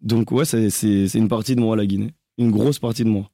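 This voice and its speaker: A young man from France speaks as a singer being interviewed, pausing and restarting often as he searches for words. His low voice has a gravelly edge and a dark, mellow tone. The sound is dry, with no room around it. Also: steady tone, no echo. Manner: halting, hesitant, many restarts. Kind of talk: interview answers.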